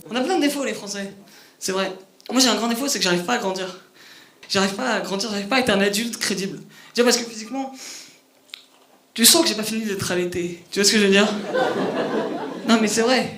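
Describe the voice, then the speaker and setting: A young man with a Parisian accent doing a self-mocking stand-up set on a club stage. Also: fairly bright tone. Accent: Parisian French. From France. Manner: quick, self-mocking, with a youthful high voice. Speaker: stand-up comedian. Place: comedy club stage before a laughing audience. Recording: echoey club with audible background noise. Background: audience laughter.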